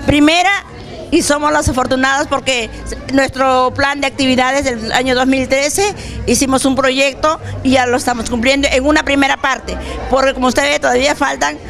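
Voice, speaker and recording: A woman's voice: high voice, steady tone, moderate pace, a bit bright, soft background music, some chatter behind. A middle-aged woman, the school principal, answering a reporter cordially and proudly. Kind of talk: interview answers.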